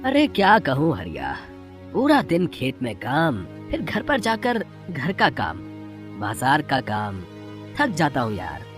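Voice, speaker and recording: A put-upon young farmer husband, voiced by a woman, weary and plaintive. The delivery swings widely in pitch, with soft music behind the village story drama. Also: wildly swooping pitch, takes it slowly, exaggerated voice. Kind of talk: dramatised Hindi moral story.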